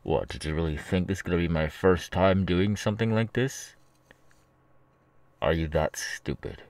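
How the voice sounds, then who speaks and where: A man voicing a menacing, mocking ex-convict kidnapper in an ASMR audio roleplay, with a halting, sneering drawl. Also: low voice, a little mellow, no echo. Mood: smug, threatening.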